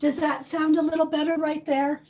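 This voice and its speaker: A middle-aged woman with a high, hoarse voice hosts a casual livestream. She rambles warmly and greets her viewers by name as she chats. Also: flat delivery, normal speed, a little hiss or hum. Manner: warm, rambling, reads out viewer names. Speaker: livestream host. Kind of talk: casual live chat with viewers.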